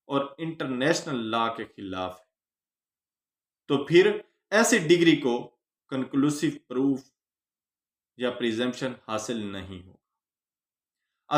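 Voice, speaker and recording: Male lecturer, Pakistani accent. Measured, slow, emphatic question-and-answer teaching, recorded in an echoing room. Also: mid-range voice, fairly bright tone. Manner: didactic, emphatic stress on phrases, mixes in English legal terms. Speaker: law lecturer. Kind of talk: instructional lecture, posing questions then answering them.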